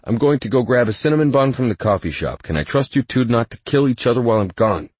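A man's voice: mid-range voice, lively intonation, fast talker, a little mellow, husky, dry recording, pitched above the performer's own voice. Exasperated fussy male assistant, as a narrator voices him. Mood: weary, pleading. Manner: urgent, exasperated pleading.

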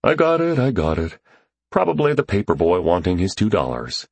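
Man as an easygoing, husky joker. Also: low voice, huge pitch swings, normal speed, darkish tone, dry recording, heavily acted. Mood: breezy. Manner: casual, offhand. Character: easygoing, joking male family friend.

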